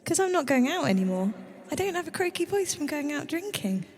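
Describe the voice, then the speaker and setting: A woman's voice: sits high, sing-song, fairly quick, a little mellow. Chatty woman singer. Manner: chatty, rambling with fillers. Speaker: singer-songwriter guest. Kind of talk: casual promotional chat. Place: live online music session.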